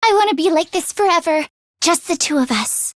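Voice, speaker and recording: A woman voice acting an earnest, anxious teenage schoolgirl in an English video game, with expressive, emotionally heightened delivery. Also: very high voice, brisk, dry recording. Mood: lovestruck.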